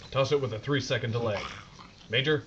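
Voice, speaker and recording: A man's voice: mid-range voice, brisk, natural voice. Muffled sound, guy playing gritty sergeant, loyal but wary, clipped soldier talk, mid voice. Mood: urgent. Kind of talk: audio drama acting. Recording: slightly noisy, muffled audio.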